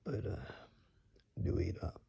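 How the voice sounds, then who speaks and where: A man playing a reclusive, kindly but gruff woodsman in an ASMR roleplay, murmuring haltingly and gently to the listener, sometimes in a whisper, with dry teasing. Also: highs cut off, natural voice. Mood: gentle, dry. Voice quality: very deep, gravelly. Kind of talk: ASMR roleplay, soft intimate monologue to the listener.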